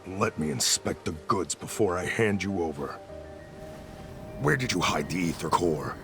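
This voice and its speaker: An actor playing a gruff criminal kidnapper in a video game, curt and threatening, with music behind him. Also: male voice, sits low, lively intonation, a little slow. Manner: menacing, curt.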